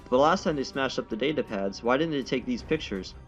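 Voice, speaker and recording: A man's voice: mid-range voice, expressive, a little fast. A man reading a visual novel's dialogue and narration aloud as a let's player, stumbling over words and correcting himself. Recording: dull audio with game music behind. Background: game music.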